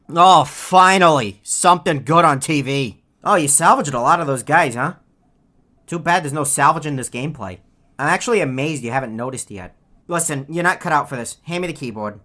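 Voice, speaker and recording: A low-pitched woman acting as a snarky game bird. The delivery is deadpan and sarcastic, full of mockery. This lazy, greedy heckler taunts like a bored cartoon sidekick. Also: fairly quick. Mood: exasperated teasing. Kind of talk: scripted video game character taunts.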